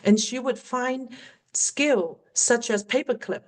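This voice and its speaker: A middle-aged woman with a Cantonese accent, a native Cantonese speaker, answering questions as a workplace communication coach in an online webinar. She talks earnestly and encouragingly and slows down to stress her key points. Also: a little high. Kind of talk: webinar Q&A teaching.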